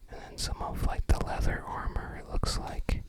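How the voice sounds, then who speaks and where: An ASMR host speaking in a soft, unvoiced whisper, casually musing and tentative while commenting on items. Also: normal speed.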